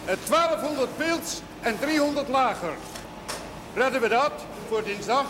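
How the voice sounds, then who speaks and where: An actor playing a businesslike brewery worker who talks briskly and loudly. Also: male voice, very high voice, lively intonation. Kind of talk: 1970s TV drama acting. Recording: noisy, reverberant old TV audio.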